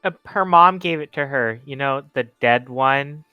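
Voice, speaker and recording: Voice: mid-range voice, measured pace, clear, resonant tone, dry recording, muffled recording, faint music behind. A player in a tabletop roleplaying game, speaking casually in character, earnest and a little defensive.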